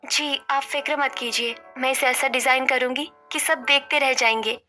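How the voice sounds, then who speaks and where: A woman playing a gentle, hopeful and resolute wife in a story. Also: high voice, fairly even intonation, normal speed, faint music behind. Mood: reassuring, hopeful.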